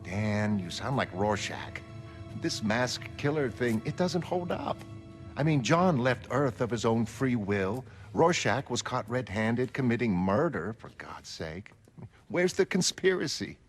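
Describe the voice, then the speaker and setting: A man's voice: medium pitch, big pitch swings, a little slow, soft background music. A man voicing a candid, lonely woman, a former costumed heroine, conversational and emphatic in a comic-book reading. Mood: skeptical.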